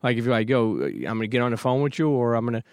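A man's voice: medium pitch, big pitch swings, racing, darkish tone, dry recording, clean studio sound. A man hosting a podcast, giving an emphatic motivational talk full of casual swearing before welcoming his guest. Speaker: podcast host.

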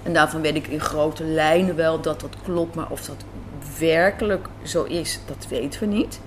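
A woman's voice: low-pitched, steady tone, normal speed. A woman answering as an interviewed writer and director, reflective and emphatic, repeating phrases for emphasis. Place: café interview. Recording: some background noise and ambience.

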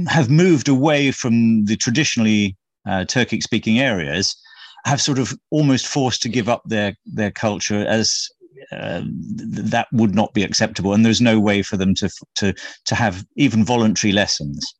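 A man's voice: fairly low pitch, moderate pace, no echo. A man hosting an interview, who asks probing questions and often rephrases them. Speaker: interviewer, host.

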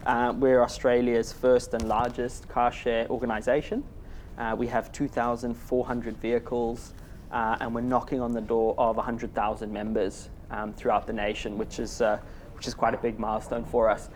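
A man from Zimbabwe with a Zimbabwean accent, speaking as a guest on a live panel in front of a small audience, chatty and filling pauses with "uh". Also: mid-range voice, completely flat delivery, darkish tone, slight background noise. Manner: chatty, many "uh" fillers, laughs along. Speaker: panel guest, car share manager. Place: workspace event room with small audience.

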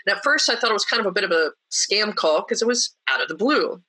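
A woman with a deeper voice, a podcast guest telling stories in a lively, anecdotal way and mimicking other people when she quotes them. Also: fast, poor audio. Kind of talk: storytelling in a podcast interview.

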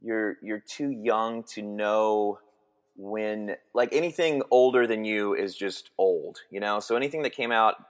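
Male guest, 40s, from Texas, lowish voice, stop-start delivery. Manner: halting, many restarts and fillers. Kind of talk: casual interview chat.

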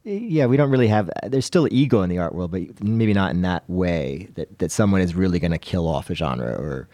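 A man hosting a casual discussion podcast, speaking in a measured way and often softening his points with qualifiers. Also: sits low, lively intonation, no echo. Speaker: podcast host.

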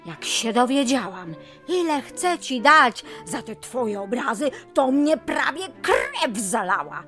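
A woman's voice: fairly high pitch, very animated, takes it slowly, fairly bright tone, heavily acted. A woman playing a fussy, overprotective aunt of fifty in a radio comedy, fretting and coddling as she chatters, with music playing behind her. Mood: scolding, chatty. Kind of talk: radio comedy drama acting.

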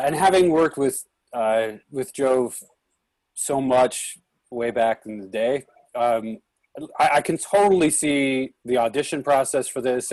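A man with a medium-pitched voice gives casual, appreciative feedback in a discussion. The sound is close and dry. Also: no room sound. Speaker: participant giving feedback. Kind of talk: casual discussion after a reading.

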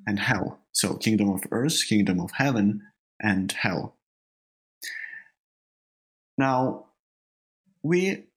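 A man with a heavy Russian accent, a native Russian speaker, co-hosting a spiritual discussion podcast and often halting to repeat words as he searches for phrasing. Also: lowish voice, a bit bright, breathy edge, poor audio. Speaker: podcast co-host.

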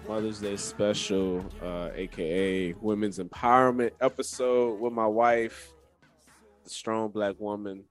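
A man's voice: fairly low pitch, steady tone, unhurried, soft background music. A man hosting a radio show in casual chat, hedging and thinking aloud as he talks.

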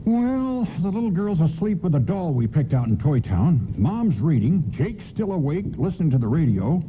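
Casting: excitable, folksy, grumbling Santa for a radio play, high-pitched and swooping, on a hissy lo-fi recording. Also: male voice, normal speed. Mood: friendly banter. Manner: repeats phrases insistently, folksy bickering. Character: grumbling, excitable, wistful middle-aged department store Santa. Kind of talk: nostalgic radio play acting.

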